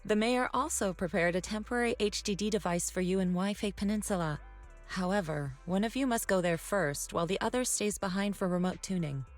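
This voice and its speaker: Woman acting a calm protective mentor, music behind. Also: medium pitch, natural voice. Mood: calm, reassuring. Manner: composed, reassuring, measured. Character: calm, protective female martial arts mentor. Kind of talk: video game story dialogue. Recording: game music under the voice.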